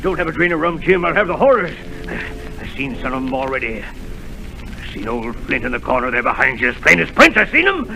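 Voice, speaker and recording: A gravelly old sea captain who swings from a low growl to a high shout. The old radio recording carries music behind him. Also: male voice, very animated, a little fast, full character voice, low-quality recording. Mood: desperate. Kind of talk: 1930s radio drama acting.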